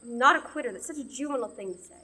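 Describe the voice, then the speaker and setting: A woman's voice: a little high, expressive, racing, bright, forceful tone. A young woman acting a stubborn, indignant young woman on a quest in a radio play. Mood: indignant, exasperated. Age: young adult. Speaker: student actor.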